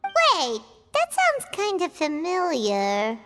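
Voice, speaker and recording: Tiny, very squeaky companion creature. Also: female voice, wildly swooping pitch, takes it slowly, in a large hall, over music, heavily acted. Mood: eager. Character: tiny, childlike, cheerful floating companion creature. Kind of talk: video game character dialogue.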